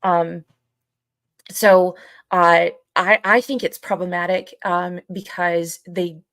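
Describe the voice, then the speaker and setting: Creaky, low-pitched woman, fairly even. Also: slow pace, dry recording. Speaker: podcast co-host. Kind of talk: casual conversational podcast chat.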